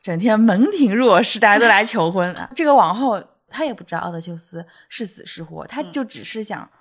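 A woman's voice: mid-range voice, lively intonation, a little fast. A woman co-hosting a casual podcast chat, explaining stories at length and agreeing often. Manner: explains at length, stacks many agreeing "对对对". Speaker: podcast co-host. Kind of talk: casual chat about fairy tales.

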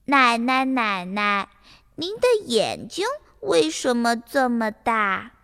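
A woman's voice: fairly high pitch, very animated, slow, pushed and bright, clean tone, in a large hall, full character voice. A woman voicing an innocent, curious little girl in a children's fairy tale, childlike and polite. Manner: childlike, repeats her calls, wide-eyed questioning.

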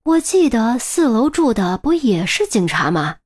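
A woman's voice: a little high, sing-song, unhurried, darkish tone, voice pushed up. A woman voicing a chatty, nosy, thrifty old neighbour lady in an audiobook, with a folksy, fussing way of talking. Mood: gossipy.

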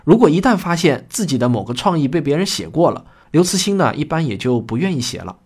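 A man speaking as author and presenter, introducing a radio drama to listeners in a conversational way, pausing on trailing particles. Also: fairly high pitch, big pitch swings, husky.

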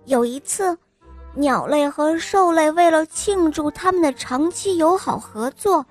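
A young woman narrates a children's bedtime story, sweet and sing-song with a gently coaxing lilt. Her voice is high and her pace unhurried. Soft music plays faintly behind her.

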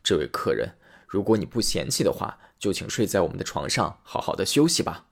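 A humble, kind-hearted poor man from a fairy tale, speaking quietly and with gentle hesitation, as a male storyteller voices him. His voice is soft and a little lower than the narration around it. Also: big pitch swings, fairly quick, gravelly, breathy edge, full character voice.

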